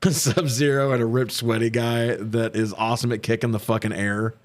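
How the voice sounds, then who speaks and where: A man's voice: medium pitch, fairly even intonation, moderate pace. A man co-hosting a movie review in casual, profane recap commentary, with an irreverent way of talking. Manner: irreverent, swears freely. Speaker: podcast co-host reviewing movies.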